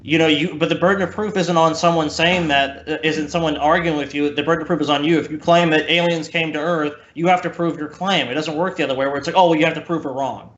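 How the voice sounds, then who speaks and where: A man's voice: a little high, steady tone, fast, hardly any pauses, a bit bright, slight background noise. A man co-hosting a podcast, giving casual, combative reaction commentary with scornful, profane rants. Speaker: podcast co-host. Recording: roomy echo, slightly dull sound.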